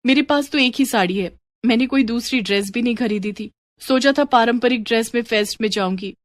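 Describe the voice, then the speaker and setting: A woman voicing an excitable college girl in a story, dramatic and theatrical in manner. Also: fairly high pitch, fairly quick, dry recording, natural voice. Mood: upset.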